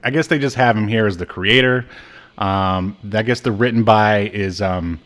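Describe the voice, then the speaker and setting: A man with a slightly low voice co-hosts a casual podcast about film and TV. His delivery is conversational and halting, as he often searches for the right word. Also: fairly bright tone. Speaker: podcast co-host.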